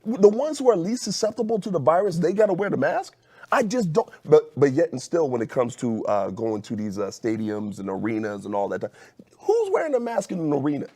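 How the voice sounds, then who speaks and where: A man co-hosting a casual political talk show, talking in an animated, incredulous way. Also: a little fast. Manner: animated, incredulous, swooping pitch. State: scratchy throat. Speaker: co-host.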